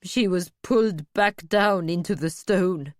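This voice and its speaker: Cruel female leader, done by a woman narrator, cold and scornful, deliberate pace, dry audiobook sound. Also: on the low side, no room sound, lightly acted. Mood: curt. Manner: cold, curt, scornful, commanding. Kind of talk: audiobook character voice.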